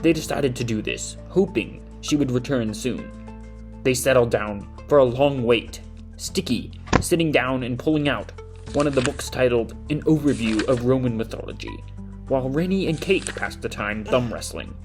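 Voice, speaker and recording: A man's voice: mid-range voice, moderate pace, over music, close to an everyday voice. A man playing the narrator of a children's mystery audio drama, telling it in scripted storybook style. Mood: measured storytelling.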